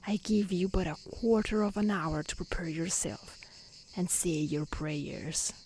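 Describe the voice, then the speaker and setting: Slow, menacing beast softly voiced by a woman. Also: lowish voice, close to an everyday voice, poor audio. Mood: stern, threatening. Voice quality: soft, breathy. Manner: blunt, menacing, slow formal speech. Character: stern, wrathful male beast of a castle. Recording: faint outdoor insect noise.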